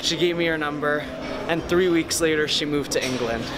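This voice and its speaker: A man's voice: on the high side, normal speed, noisy background. A man in his twenties chatting casually to camera as a vlog host, with a jokey, bragging manner. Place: large busy gym indoors. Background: loud music.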